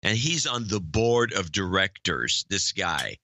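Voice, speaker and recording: A man's voice: deep voice, big pitch swings, unhurried, pushed and bright, no room sound. A man co-hosting a podcast in casual chat, blunt and opinionated. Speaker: podcast co-host.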